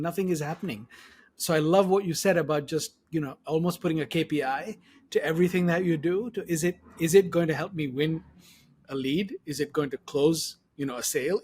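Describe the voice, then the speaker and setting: Slightly husky, higher-pitched male podcast host. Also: a little mellow. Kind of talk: conversational interview, reflecting and summarizing.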